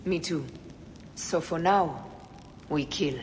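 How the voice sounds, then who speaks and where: A woman's voice: sits low, takes it slowly. A woman playing a vengeful ancient Egyptian warrior in a video game, grave, terse and restrained. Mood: sad, resigned. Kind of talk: dramatic video game acting. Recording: reverberant, faint ambient noise.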